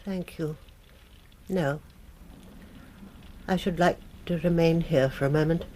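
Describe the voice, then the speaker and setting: A woman's voice: sits low, steady tone, slow pace, warm tone, slight background noise. An actress playing a grieving, composed noblewoman in a radio drama, formal, restrained and dignified. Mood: subdued grief.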